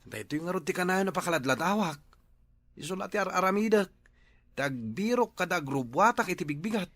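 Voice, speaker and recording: A man's voice: sits high, expressive, a little fast, fairly bright tone, exaggerated voice. A man acting a helpless, whiny, grumbling husband in an Ilocano radio drama, with an Ilocano accent. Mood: sheepish. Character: helpless, grumbling husband always late for work.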